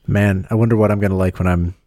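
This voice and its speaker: Low-voiced man hosting a podcast. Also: fairly even intonation, fast talker, warm tone, dry recording, studio quality. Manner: reads listener questions, with hesitant fillers. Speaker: podcast host. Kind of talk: casual podcast conversation.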